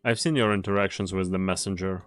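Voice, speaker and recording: A man playing a weary, fatalistic mercenary in an anime game fan dub, speaking gravely and deliberately. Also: deep voice, fairly even intonation, fairly bright tone, no room sound, faint music behind. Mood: probing, suspicious.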